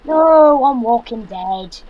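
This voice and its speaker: A man's voice: very high-pitched, expressive, takes it slowly, dull audio, exaggerated voice. A young man playing a sarcastic, exasperated fifteen-year-old son in an audio drama, with a Yorkshire accent and blunt delivery. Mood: lecturing. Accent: Yorkshire English. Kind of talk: naturalistic audio drama acting.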